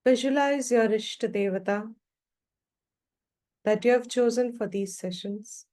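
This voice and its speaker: A woman leading a guided meditation as a teacher, giving short, calm, measured instructions with long pauses between them. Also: a little high.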